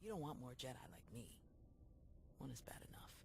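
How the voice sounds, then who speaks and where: A woman's voice: extremely low pitch, animated, brisk, gravelly, in a whisper, full character voice. A woman playing a jaded, sardonic, battle-hardened Jedi warrior in a video game, with a dry, blunt delivery. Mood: sardonic, irritated. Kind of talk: video game cutscene acting.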